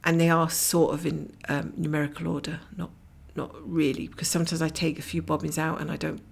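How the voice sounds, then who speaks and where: A middle-aged woman from Scotland talks on and on as she stitches at home, breaking into soft whispers along the way. Her voice runs a little low and gravelly, and it is husky and croaky from a blocked nose. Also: level tone, a little fast. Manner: rambling, chatty, often drops to a whisper. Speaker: cross-stitch vlogger. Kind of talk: casual stitch-along chat. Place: at home, camera over her stitching hoop.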